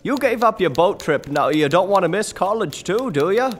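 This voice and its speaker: Man acting an excitable, high-voiced folksy uncle. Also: normal speed. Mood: resigned, reassuring. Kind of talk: theatrical radio play acting.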